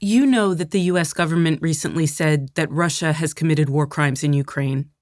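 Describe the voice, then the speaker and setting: A woman's voice: low-pitched, normal speed, darkish tone, dry recording. Woman interviewer with a dry, close sound. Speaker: podcast host and interviewer. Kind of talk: direct news interview questioning.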